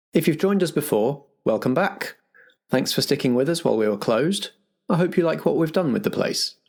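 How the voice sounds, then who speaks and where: A man's voice: mid-range voice, darkish tone. A man, a game master and compere speaking in a chatty, informal hosting style.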